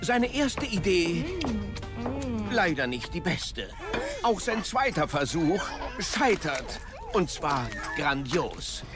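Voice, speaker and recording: High, animated male advert voice. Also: takes it slowly, loud music behind the voice. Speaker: advert voice-over. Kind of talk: storytelling commercial narration.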